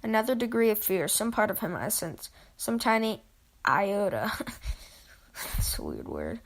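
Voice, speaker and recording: Young woman reading a scary story on a podcast, scratchy gravelly voice, lazy teasing tone. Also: medium pitch, big pitch swings, unhurried. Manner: casual, lazy, teasing banter between reading. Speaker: podcast co-host. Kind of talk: reading a horror story aloud.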